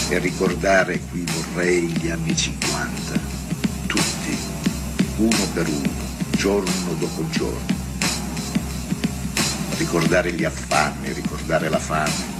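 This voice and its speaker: Male reciter, extremely low register, unhurried and solemn, poetry delivered over prominent electronic music. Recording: music under the voice.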